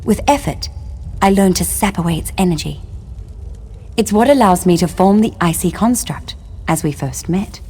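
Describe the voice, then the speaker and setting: An actress playing a long-imprisoned elven wife in a fantasy game, speaking formally and solemnly, with bitterness and longing. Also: female voice, lowish voice, animated, normal speed, dark tone, breathy edge. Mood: apologetic, measured. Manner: formal, archaic, solemn phrasing. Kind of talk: scripted fantasy game dialogue.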